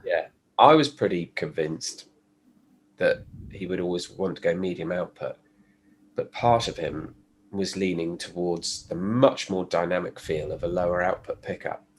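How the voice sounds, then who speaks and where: A low-voiced man, nasal and stuffed up from a cold, talks through his design process in an easygoing, rambling way. A faint hiss sits behind him. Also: a little slow. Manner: relaxed, explanatory, with fillers and restarts. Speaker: guest, pickup designer. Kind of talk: casual podcast conversation.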